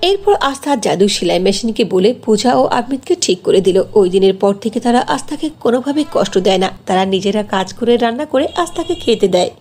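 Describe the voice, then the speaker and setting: A woman's voice: medium pitch, expressive, fast, hardly any pauses, a little mellow. A woman narrating a scripted fairy tale as a storyteller.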